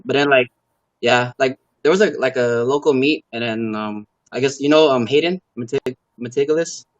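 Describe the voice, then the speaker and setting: A man from Los Angeles, a native Khmer speaker, talking casually as an interview guest in a halting, filler-heavy way. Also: medium pitch, dry recording, lo-fi sound. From Los Angeles, USA. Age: adult parent. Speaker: guest, car builder and YouTuber.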